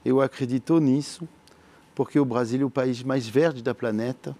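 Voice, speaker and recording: A French entrepreneur speaking Portuguese as a second language with a French accent, answering interview questions in an earnest, visionary way, halting often with fillers and repeated words. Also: male voice, mid-range voice, mellow. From France.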